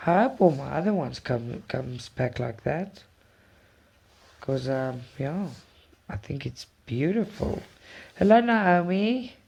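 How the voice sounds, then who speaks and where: A woman's voice: rumbling low, vocal fry, a little mellow, husky. A woman from South Africa whose first language is Afrikaans, chatting casually and effusively with viewers as a cross-stitch channel host. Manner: chatty, effusive, repeats words. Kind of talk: casual chat with live viewers.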